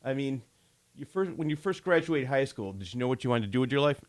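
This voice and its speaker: A man's voice: mid-range voice, lively intonation, quick pace. Jokey male talk show host. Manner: jokey, teasing. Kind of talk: chatty interview hosting.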